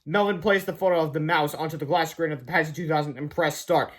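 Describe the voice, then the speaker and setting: A man with a high-pitched voice narrates a children's storybook aloud. He works as a storyteller would, reading from the written text. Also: fast talker. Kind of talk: scripted children's book narration.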